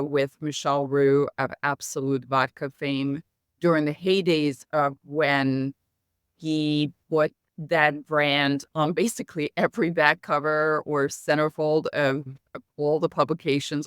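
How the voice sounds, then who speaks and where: A middle-aged woman with an American accent, native in Hungarian, speaks in a smooth, clear voice with a dry, close sound. She answers as an interview guest, measured and articulate, with formal wording. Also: low voice, no room sound. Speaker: interview guest, marketing executive. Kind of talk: conversational interview storytelling.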